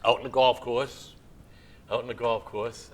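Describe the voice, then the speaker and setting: A man's voice: mid-range voice, little pitch movement, a little slow. A man in his sixties hosting a casual interview, chatty and encouraging, rambling between thoughts. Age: 60s. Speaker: show host.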